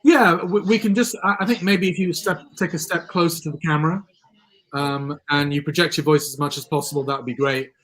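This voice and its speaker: A man's voice: on the high side, steady tone, brisk, a bit bright. A man hosting a live election-night stream, hesitant with many ums, losing his voice a little. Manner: hesitant, frequent ums and restarts. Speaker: livestream host. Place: studio.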